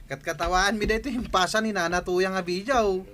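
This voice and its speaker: A man's voice: sits high, steady tone, fairly quick, a bit bright. A man playing a cheeky, argumentative villager in a comedy skit, teasing and protesting. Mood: cheerful.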